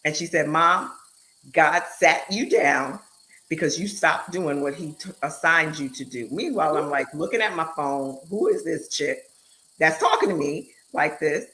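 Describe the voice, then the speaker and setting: Host, female, bright tone, animated and emphatic, quick bursts. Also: deep voice, poor audio. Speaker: show host and speaker. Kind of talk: casual chat on a live online show.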